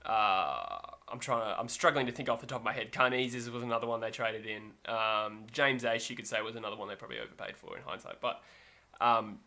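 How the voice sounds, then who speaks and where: A man's voice: on the low side, a little fast, hardly any pauses, pushed and bright. A man co-hosting a sports podcast, talking in a rambling, self-correcting way and laughing easily. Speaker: podcast co-host. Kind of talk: casual sports chat.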